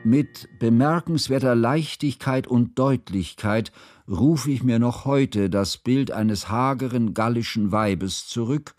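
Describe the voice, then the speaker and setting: A man acting in a radio drama as a grave, reflective narrator recalling a war in formal, measured literary language. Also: medium pitch, darkish tone, no room sound, studio quality, natural voice. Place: studio.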